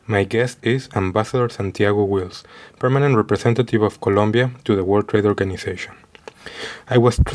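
A man's voice: on the low side, a little mellow. A man hosting his own interview podcast, reading a prepared introduction with small stumbles and restarts, then asking his guest questions. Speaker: podcast host.

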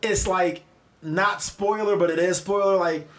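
Animated profane Philly guy, higher voice. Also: a little slow. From Philadelphia. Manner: animated storytelling, profane, laughs often. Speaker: podcast co-host. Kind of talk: casual chat.

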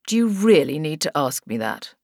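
A woman's voice: low voice, big pitch swings, normal speed, a little mellow, no echo, clean studio sound, voice pushed down. A woman voicing a menacing male killer in an audiobook, scornful and cold. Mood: sneering contempt.

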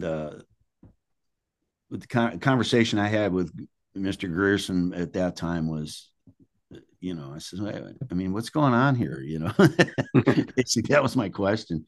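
A man speaking as an interview guest, telling anecdotes in a casual, rambling way. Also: low voice.

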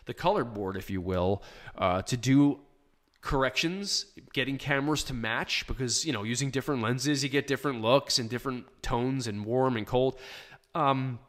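A man with a hoarse, worn voice talks straight into a studio microphone, hosting a casual live show. He answers questions in a loose, plainspoken way, with plenty of ums and restarts. His pitch sits in an ordinary middle range for a man. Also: fairly bright tone. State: hoarse from shouting. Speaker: podcast host answering listener questions. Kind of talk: casual live podcast chat. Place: small home studio.

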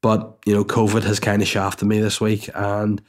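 A man's voice: lowish voice, monotone, normal speed. A man with a stuffy, congested voice, telling a rambling, chatty personal story at home as a podcast host, with swearing and asides. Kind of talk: casual storytelling monologue.

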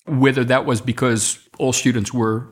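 A man's voice: medium pitch, fairly even intonation, moderate pace. A man from South Africa whose first language is Afrikaans, in his thirties, talking as a podcast guest. He tells his story reflectively, with frequent restarts and fillers. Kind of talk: conversational interview storytelling.

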